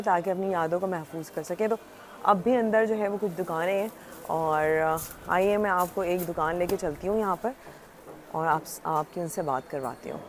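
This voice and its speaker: A woman's voice: fairly low pitch, a little mellow. A woman with a Karachi Pakistani accent hosts a casual on-location vlog, explaining things conversationally as she shows the place around and asks questions. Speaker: host and reporter. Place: on location outside and inside a shopping centre. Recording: noisy location with traffic ambience.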